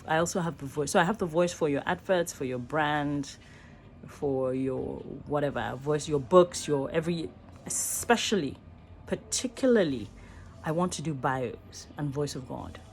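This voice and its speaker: A woman's voice: sits low, a little hiss or hum. A middle-aged Nigerian woman, an actor and voice artist, musing in a rambling, earnest selfie vlog from a small room, often stopping to rephrase herself. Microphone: phone at arm's length.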